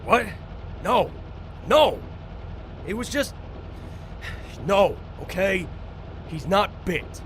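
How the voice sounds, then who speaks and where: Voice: medium pitch, lively intonation, drawn-out words, pauses often, a bit bright, a little hiss or hum. An actor playing a brash, defensive, short-tempered young man in an audio drama, snappy and sarcastic.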